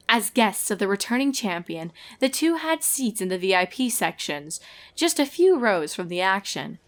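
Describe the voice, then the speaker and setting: A woman's voice: mid-range voice, very animated, moderate pace. A woman reading a fan fiction story aloud as narrator, in a scripted storytelling style.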